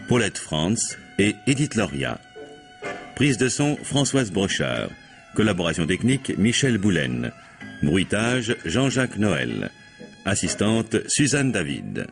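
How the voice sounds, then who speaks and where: A man reading closing credits as a radio announcer in a formal, scripted style. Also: low voice. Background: faint music.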